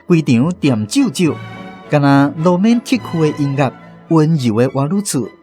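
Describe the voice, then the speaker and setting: A man with a Taiwanese accent reads fiction aloud as a narrator. His slightly high voice swings expressively through the phrases, with music playing behind him. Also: animated, unhurried, mellow. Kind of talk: expressive literary reading of a story in Taiwanese.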